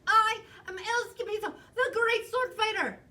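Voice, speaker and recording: A woman's voice: extremely high pitch, normal speed, voice pushed up. A woman voicing a boisterous, boastful little boy kitten who plays a chihuahua hero, in a theatrical storybook reading. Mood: bold. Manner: theatrical, excitable. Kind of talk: animated storybook read-aloud. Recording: distant mic, noisy room.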